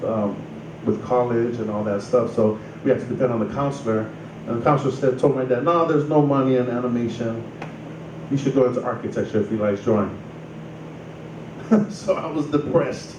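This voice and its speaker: Relaxed rambling man, roomy distant sound. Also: mid-range voice. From Samoa. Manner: relaxed, rambling, frequent "you know" and "like". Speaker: musician telling his life story. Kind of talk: casual storytelling. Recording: roomy distant mic with noise.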